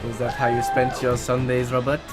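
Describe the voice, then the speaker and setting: A man's voice: mid-range voice, completely flat delivery, moderate pace, natural voice. A man acting in an audio drama as a bold, impatient companion, pushy and eager in delivery. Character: bold, impatient companion urging a nervous friend onward. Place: recording studio. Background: music.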